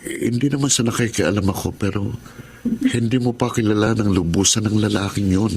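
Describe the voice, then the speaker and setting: An actor playing an earnest, caring young Filipino suitor in a Tagalog radio drama, gentle in manner, with faint ambience behind. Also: male voice, lowish voice, fairly even intonation, fairly quick, noisy background, close to an everyday voice. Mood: let down, concerned.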